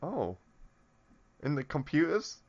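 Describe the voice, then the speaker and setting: A man's voice: fairly high pitch, big pitch swings, slow pace, muffled recording. A man co-hosting a podcast in a casual comedic chat, stumbling and restarting, laughing at his own jokes over a remote link. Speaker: podcast co-host. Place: remote call across the Atlantic.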